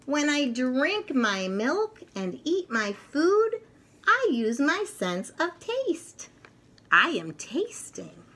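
A middle-aged woman reads and teaches to young children in an expressive, deliberate, sing-song storytelling manner. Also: a little high. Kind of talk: picture book read-aloud for young children. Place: furnished room at home, indoors.

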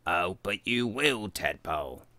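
A man voicing a calm, assured, bug-eyed grasshopper from a children's storybook, in a measured manner. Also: low-pitched, no room sound, full character voice.

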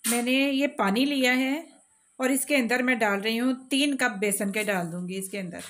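A woman giving calm, step-by-step cooking instructions in a home kitchen, talking directly to her viewers while she cooks. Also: on the high side, normal speed. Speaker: cooking channel host. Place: home kitchen, off camera over a pot.

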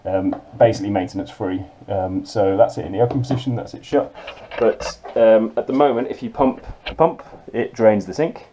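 Man, 40s, lowish voice, practical casual tour talk, close handheld camera in a cramped cabin, noisy with handling clicks. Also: normal speed, few pauses, mellow. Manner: matter-of-fact, practical, with frequent ums. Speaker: sailor giving a boat tour. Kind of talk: casual walkthrough. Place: cramped cabin of a small yacht. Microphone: handheld action camera close up.

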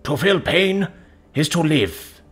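A man's voice: fairly high pitch, lively intonation, fairly bright tone, breathy edge, faint music behind, voice pushed up, full character voice. Casting: serene, devout male adviser, played by a narrator man, earnest and firm, widely swooping intonation, audiobook fiction. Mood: gentle but firm. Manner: measured, earnest, preaching in metaphors. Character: calm, devout male counsellor, gentle but firm.

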